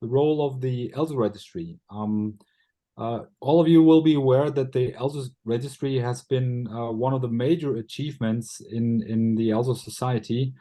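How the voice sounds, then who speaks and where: A man moderates a webinar. He has a mellow, mid-pitched voice and welcomes the speakers in a hesitant way, with fillers between his phrases. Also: moderate pace.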